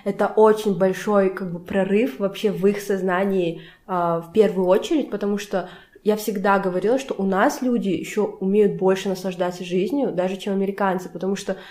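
A woman's voice: medium pitch, steady tone, fairly quick, darkish tone. A woman who grew up partly in the United States, talking casually and giving opinions as a podcast host. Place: indoors in a home.

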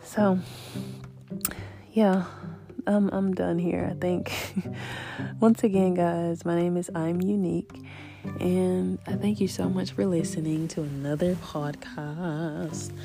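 Female podcast host, low gravelly voice, casual and encouraging, guitar bed. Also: flat delivery, takes it slowly. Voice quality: deep voice. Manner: rambling, faith-filled, soft laughs. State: recovering voice after illness. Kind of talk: casual spiritual podcast chat.

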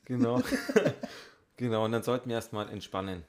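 A man with a medium-pitched voice, chatting casually as a podcast co-host in a dry, matter-of-fact way. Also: wildly swooping pitch, a little fast, gravelly, a little mellow. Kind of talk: casual banter and chat.

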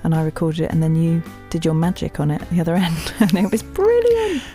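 A woman's voice: sits low, expressive, a little fast, warm tone, faint music behind. A woman with a southern English accent chatting casually in an interview, in a jokey, agreeable way. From England. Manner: jokey, agreeable, laughs often. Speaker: voice actor and audiobook narrator.

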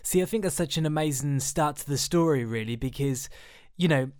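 A man's voice: on the high side, a little fast, darkish tone, smooth. A young man hosting a podcast, asking questions with enthusiasm and plenty of "you know" and "like". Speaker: podcast host. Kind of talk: casual interview.

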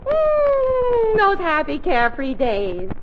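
A woman's voice: very high-pitched, wildly swooping pitch, slow, heavily acted. An actress playing a giggly, gushing, sweet-toothed large middle-aged woman in a 1940s radio comedy. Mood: nostalgic, sentimental. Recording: muffled, noisy 1940s radio broadcast.

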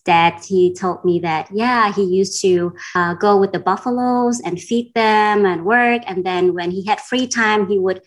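A woman from Denmark speaking as an interview guest, casual and reflective, often pausing with "you know". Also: mid-range voice.

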